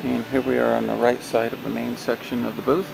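A man with a rough, gravelly voice walks a large warehouse with a handheld camera, showing off a used trade show booth for sale. He talks plainly, with lots of pauses and fillers. Steady background noise from air conditioning and vehicles runs under the camera audio. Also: mid-range voice. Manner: plain, unhurried sales description with many pauses and fillers. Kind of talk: walkthrough tour. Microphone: handheld camera held by the speaker.

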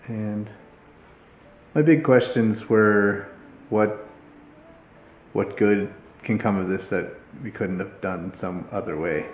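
A man in his thirties telling a personal story in a steady, flat way with fillers, in a living room. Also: lowish voice, takes it slowly, pauses often, echoey room, slight background noise, poor audio. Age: 30s. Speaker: grieving brother. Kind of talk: personal testimony.

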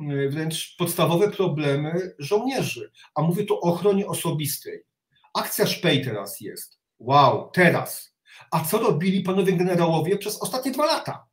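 Middle-aged man, echoey video call. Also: a little high. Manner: halting, with repeated words and blunt criticism. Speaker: interview guest, reserve army officer. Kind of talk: opinionated interview commentary. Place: home study with bookshelves, indoors. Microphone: video call, sitting back from the webcam. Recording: roomy, echoing video call.

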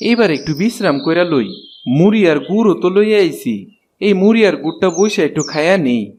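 A man's voice: high-pitched, animated, brisk, a little mellow, a little hiss or hum. A man voicing a poor, kind-hearted woodcutter in a cartoon, plain-spoken with a rural Bangladeshi dialect. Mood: gentle. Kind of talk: Bengali fairy-tale cartoon voice acting.

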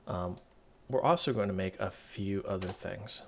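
A man with a voice going raspy, walking through code in a casual tutorial, thinking aloud and correcting himself as he goes. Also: sits low, moderate pace, slight background noise. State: losing his voice, raspy. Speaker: programming tutorial instructor. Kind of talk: casual screen-recorded coding walkthrough. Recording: dull lo-fi mic, keyboard typing behind. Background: keyboard typing and clicking.

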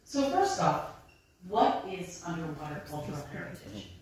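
A woman's voice: fairly low pitch, sing-song, normal speed, a little mellow. A woman in her thirties, a maritime archaeologist, giving a friendly, chatty public talk into a handheld microphone in a library. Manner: friendly, chatty presenter addressing the audience directly. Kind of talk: public lecture with slides. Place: library room with bookshelves, indoors. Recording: very echoey room, voice from a distance.

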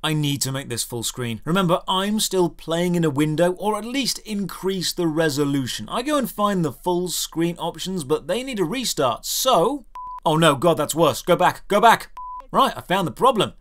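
Animated man narrating a sardonic game review. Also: fairly high pitch, normal speed. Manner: dry, sardonic, emphatic delivery. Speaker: video host, game reviewer.

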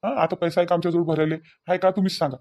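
A hot-tempered village husband, acted by a man, with a high voice and quick delivery. He scolds in repeated questions, in a rural Vidarbha Marathi accent. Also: flat delivery, creaky, a little mellow. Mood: exasperated. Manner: scolding, repetitive rhetorical questions. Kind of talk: amateur Marathi village family drama.

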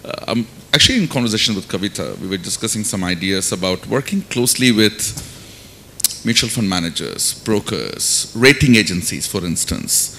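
Bright-voiced, emphatic middle-aged Indian-accented man. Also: animated, normal speed, creaky. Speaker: panelist, finance academic. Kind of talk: panel discussion remarks. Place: conference stage indoors. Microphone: handheld microphone. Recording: faint noise and low rumble.

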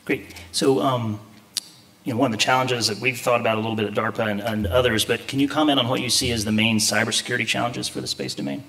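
A man in his thirties moderating a conference interview from a stage, asking quick, informal questions. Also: medium pitch, steady tone, a bit bright.

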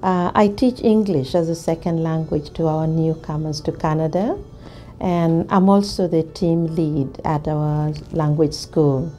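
A middle-aged woman from Uganda, an English teacher, speaking to camera in a measured, thoughtful way with many pauses and restarts. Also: deep voice, fairly even intonation, warm tone, faint music behind. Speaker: ESL teacher. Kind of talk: interview to camera. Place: indoor studio, plain grey backdrop.

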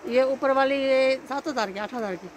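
A woman's voice: high-pitched, moderate pace. A woman from Pakistan vlogging as she walks through a market shop, upbeat and repeating prices for her viewers. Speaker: shopping vlogger. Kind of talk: casual walkthrough vlog. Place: crowded indoor market shop. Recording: loud market noise.

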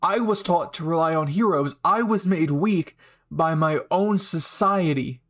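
A man acts out an unhinged young male anime villain. He is bitter and sure of his righteous cause. He speaks very slowly, with menacing, taunting pauses, in a slightly high voice. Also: fairly bright tone. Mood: brooding, righteous. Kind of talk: anime fan ASMR roleplay acting.

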